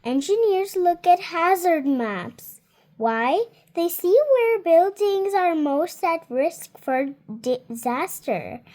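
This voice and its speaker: A woman's voice: very high-pitched, lively intonation, slow pace, a little mellow. A seven-year-old girl reading a children's nonfiction book aloud carefully, word by word, adding her own small comments. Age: child, 7 years old. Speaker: child reader.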